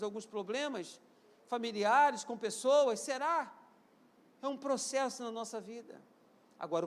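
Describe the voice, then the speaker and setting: A Brazilian pastor in his fifties with a Rio de Janeiro accent and a strikingly high voice for a man. He preaches in call-and-response, asking the congregation to repeat lines and answer amen. His delivery climbs to loud, emphatic peaks and then drops back to quieter stretches. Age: 50s. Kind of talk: live church sermon.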